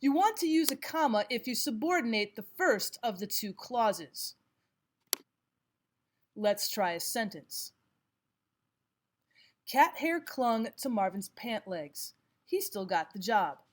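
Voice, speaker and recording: Woman, slightly high voice, teacher, scripted lesson narration, deliberate explanatory delivery. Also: big pitch swings, slight background noise. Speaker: language arts teacher.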